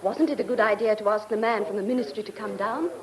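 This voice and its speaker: A woman's voice: medium pitch, fast talker, lightly acted. An actress playing an eager, easily impressed village woman in a radio drama, effusive and agreeable. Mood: admiring. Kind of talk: radio play acting. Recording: radio drama amid loud crowd chatter.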